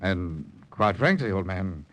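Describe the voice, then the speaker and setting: An actor playing a stubborn, hot-headed Allied agent, argumentative and emphatic, in a wartime radio drama. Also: male voice, deep voice, sing-song, slow, a bit bright, exaggerated voice. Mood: casual, defensive. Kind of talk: 1940s radio acting.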